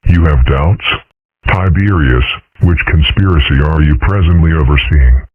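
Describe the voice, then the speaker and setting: Guy doing a super deep husky stern dad, formal and calm, thin phone-y audio. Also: phone-quality sound, slight background noise. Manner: measured, formal reproach. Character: dignified father, dragon-like, calm and stern. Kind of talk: fan comic dub.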